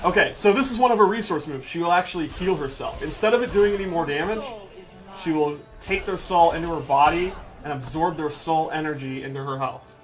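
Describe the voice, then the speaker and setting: A man's voice: on the high side, brisk, faint music behind. A man giving informal, emphatic live commentary as he demonstrates a video game on a stream. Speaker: game developer presenter on a livestream.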